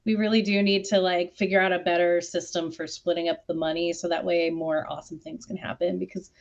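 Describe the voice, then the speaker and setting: A woman's voice: lowish voice, flat delivery, fairly quick. Woman chatting informally, slightly muffled audio. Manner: informal, heavy use of "like", emphatic. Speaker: theatre artist on a discussion panel. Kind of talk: casual panel discussion.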